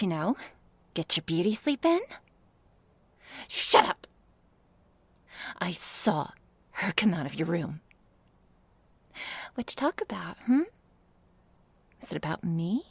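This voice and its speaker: A woman playing a jealous, menacing vampire in an ASMR roleplay, sarcastic and taunting, often dropping into breathy hisses. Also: medium pitch, a little mellow, no room sound, heavily acted. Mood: mocking.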